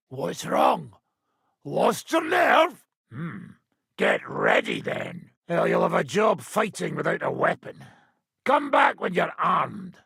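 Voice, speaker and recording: Video game role: boisterous, hard-drinking medieval fight trainer, very husky and forceful, gruff taunts, unhurried delivery. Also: male voice, high-pitched, no room sound, clean studio sound, exaggerated voice. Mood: impatient, combative. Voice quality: raspy, gravelly.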